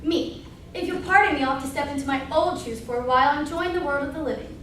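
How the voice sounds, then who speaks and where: A woman playing a high-voiced, wry landlady ghost in echoey hall. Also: quick pace, a bit bright. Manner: chatty asides to the audience, exasperated, colloquial. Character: wry, blunt murdered landlady narrating her own death, female. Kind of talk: amateur stage comedy acting. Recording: echoey stage recorded from far, faint noise.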